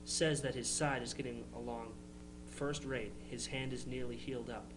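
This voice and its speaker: A man's voice: mid-range voice, little pitch movement, normal speed, natural voice. A man playing an earnest young New England brother of the 1860s, reading letters home plainly with occasional stumbles in a dramatization. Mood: plain, hopeful. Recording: noisy live room recording with hum.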